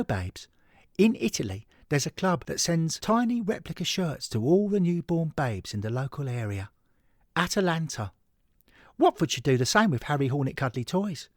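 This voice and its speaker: A man's voice: fairly high pitch, dry recording, clean studio sound. A man voicing a chatty, affectionate young English football fan in an audio story, with a breezy, familiar, girlish manner. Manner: breezy, familiar, tag questions. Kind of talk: scripted audio story monologue.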